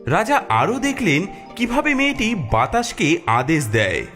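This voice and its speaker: A man's voice: high voice, wildly swooping pitch, measured pace, a bit bright. A man narrating a scripted fairy tale as storyteller. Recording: music behind the voice.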